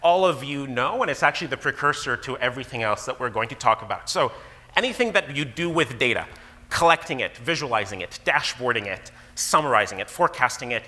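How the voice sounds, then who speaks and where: A man in his thirties, originally from Israel, giving a casual, animated conference talk as a guest speaker. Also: medium pitch, brisk. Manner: casual, animated presenter with fillers. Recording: long hall reverb.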